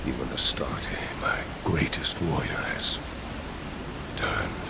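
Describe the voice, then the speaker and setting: An actor playing a proud, grieving angel commander in a video game, grave and formal in delivery. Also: male voice, very deep voice, takes it slowly, gravelly, noisy background, full character voice. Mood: sorrowful. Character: grim, grieving angel commander, proud battle-worn male warrior. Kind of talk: dramatic video game acting.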